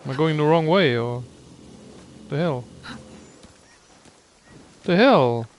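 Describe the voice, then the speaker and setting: A man's voice: on the high side, lively intonation, takes it slowly, a little hiss or hum. A man giving casual gameplay commentary, muttering wryly and thinking aloud as he plays. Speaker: gaming video host.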